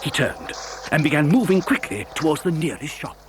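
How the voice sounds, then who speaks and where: A man's voice: a little high, lively intonation, fairly quick, noisy background. A man narrating a children's story as dramatic scripted storytelling.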